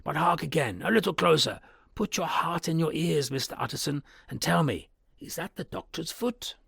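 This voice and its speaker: Man doing a scared old butler, high strained gravelly voice, dry close sound. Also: moderate pace, a bit bright, no room sound, heavily acted. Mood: fearful. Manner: anxious, earnest, dread-filled. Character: old male butler, fearful and hushed. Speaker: servant in a story.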